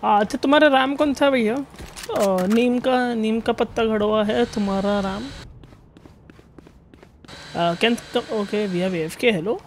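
A man's voice: high-pitched, slight background noise. A young man from Mumbai with an Indian accent, streaming a shooter game and calling out plays to teammates in English and Hindi. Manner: rapid, mixing English and Hindi, giving quick callouts. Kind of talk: live gameplay chat with teammates.

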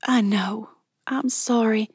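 A woman acts out a nervous middle-aged woman from a novel. Her voice jumps higher and sounds flustered and apologetic, in a dry, close recording. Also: fairly even intonation, slow, breathy edge, no room sound. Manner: flustered, squeaky under pressure.

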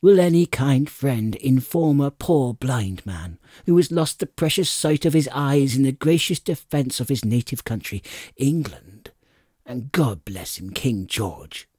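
A man voicing a sinister, soft-spoken old blind beggar in an audiobook, with a cold sing-song delivery. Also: mid-range voice, sing-song, unhurried, slightly rough, no echo, clean studio sound, voice pushed up. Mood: wheedling sing-song. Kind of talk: audiobook character acting.

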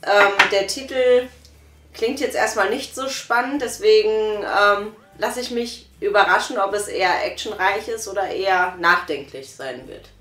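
A young woman giving her calm, measured opinion in a casual review chat on a sofa. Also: mid-range voice, echoey room. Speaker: guest reviewer. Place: small living room, on a sofa.

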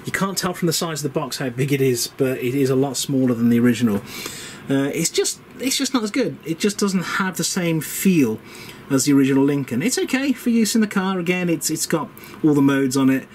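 A man's voice: on the high side, lively intonation, fairly quick. A middle-aged man, casually and enthusiastically showing gear on camera in an unscripted, chatty way. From England. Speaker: vlog host showing vintage radio gear. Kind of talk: casual unscripted product show-and-tell. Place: indoor workshop shed. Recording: clearly noisy room background.

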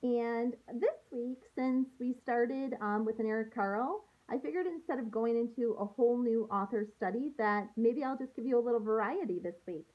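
Woman, thirties, teacher read-aloud, high-pitched, sing-song, thin phone-like home recording. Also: moderate pace, few pauses. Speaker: teacher reading aloud to her pupils. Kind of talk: read-aloud to young children. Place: small room at home, indoors. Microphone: phone or laptop at arm's length.

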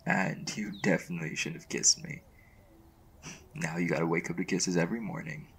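Very deep, gravelly young boyfriend, acted by a man. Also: steady tone, slight background noise. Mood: tender teasing. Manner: soft, halting, stammering when flustered. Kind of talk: intimate romantic audio roleplay, ASMR.

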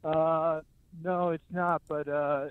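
A man's voice: fairly high pitch, flat delivery, takes it slowly, smooth, dry recording. A man talking casually and apologetically on the phone, like a shop owner taking a call. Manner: apologetic, informal. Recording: narrow tinny phone line.

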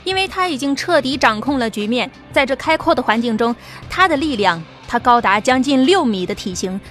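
A woman narrating an audio drama in a steady storytelling manner. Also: on the high side.

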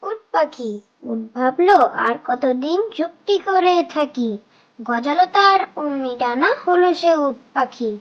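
High-voiced woman reciting nursery rhymes. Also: expressive, slow pace, dull audio. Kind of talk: sing-song children's rhyme recitation in short phrases.

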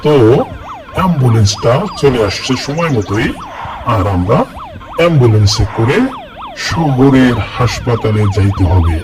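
A man voicing an earnest young village boy, narrating a cartoon in the first person with a lively, repetitive telling. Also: mid-range voice, measured pace, close to an everyday voice. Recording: cartoon voice-over with loud music. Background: background music.

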